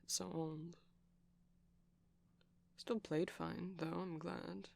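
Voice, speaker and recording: A man playing a shy, gentle vampire boyfriend in an ASMR roleplay, soft-spoken and hesitant, often slipping into a breathy whisper. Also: fairly high pitch, little pitch movement, extremely slow, full character voice. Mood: soft, fussing.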